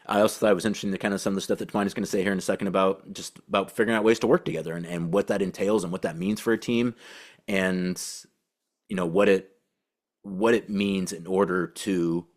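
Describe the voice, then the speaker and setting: A man hosting a sports podcast, talking in a conversational, rambling and emphatic way with rhetorical questions. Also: deep voice. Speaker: podcast host and basketball beat reporter. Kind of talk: conversational sports commentary and interviewing.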